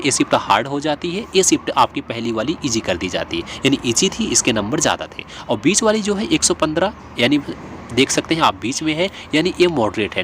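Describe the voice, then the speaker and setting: Guy teaching online, bit high voice, repeats stuff step by step, some traffic noise behind. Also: a bit bright. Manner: repetitive, step-by-step explaining with worked examples. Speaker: exam coaching presenter. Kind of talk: informal explainer lesson to viewers.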